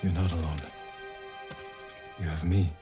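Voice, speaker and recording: A man's voice: low-pitched, little pitch movement, unhurried, music in the background. A man playing a solemn, resolute samurai warrior in a dramatic video game. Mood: solemn, caring. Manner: solemn, restrained, earnest. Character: stoic, resolute samurai warrior, loyal and duty-torn. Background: cinematic score.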